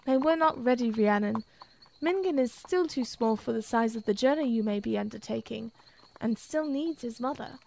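A woman's voice: on the high side, expressive, normal speed, gravelly, darkish tone, a little hiss or hum, poor audio. A woman playing a practical, loyal blacksmith with formal, old-fashioned phrasing in an audio drama. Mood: calm, assured.

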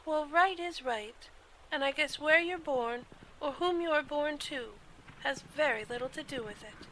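A woman's voice: sits high, unhurried, fairly bright tone, slight background noise, low-quality recording. A woman playing a headstrong young noblewoman in an audio drama, with an earnest, emphatic delivery. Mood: indignant, reflective. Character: headstrong young noblewoman, anxious for her twin brother.

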